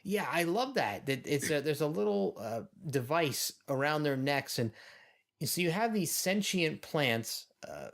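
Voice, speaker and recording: A man's voice: a little high, big pitch swings, unhurried, vocal fry, fairly bright tone. A man co-hosting a podcast, giving casual, wryly amused commentary on an episode as he watches. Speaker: podcast co-host.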